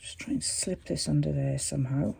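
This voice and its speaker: A woman hosting a crafting tutorial, chatting and thinking aloud as she works, her voice a little croaky today. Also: deep voice, brisk, warm tone. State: slightly croaky voice. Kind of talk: casual craft demonstration.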